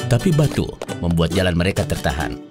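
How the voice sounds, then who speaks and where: Male cartoon narrator, deep voice, storybook telling, loud music behind. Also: big pitch swings, a little fast.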